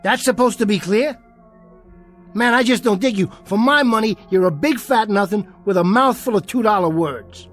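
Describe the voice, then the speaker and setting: Guy acting scrappy little bitter dude in a radio play, pitched way up, streetwise slang, faint music behind. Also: normal speed, close to an everyday voice. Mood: scornful, defiant. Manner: slangy, streetwise, defiant wise-guy talk. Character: bitter, cocky, undersized jockey-type man craving respect. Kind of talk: vintage-style radio drama acting.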